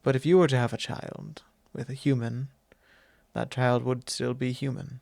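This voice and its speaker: A man playing a courtly, fond vampire lord in an intimate ASMR roleplay, speaking formally and unhurriedly with pauses. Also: mid-range voice, steady tone, vocal fry, a little mellow, no echo, lightly acted. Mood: reflective, melancholy. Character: courtly possessive male vampire lord, long-lived, fond and wistful. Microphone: close microphone, dry.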